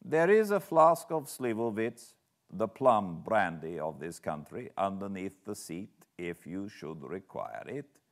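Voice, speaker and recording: A man voicing a strange, commanding coach driver from a gothic storybook, courteous yet sinister, speaking with deliberate care. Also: sits low, big pitch swings, full character voice.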